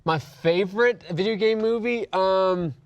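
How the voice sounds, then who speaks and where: A man's voice: sits high, measured pace, smooth, no room sound, highs cut off. A man hosting a casual panel discussion in conversational style. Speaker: host of a video game movie discussion show.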